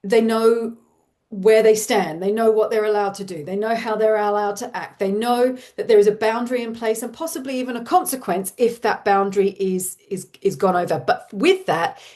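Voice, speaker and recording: A woman in her forties with an Australian accent, a parenting coach giving an online workshop in a warm, reassuring, chatty way with fillers. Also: mid-range voice.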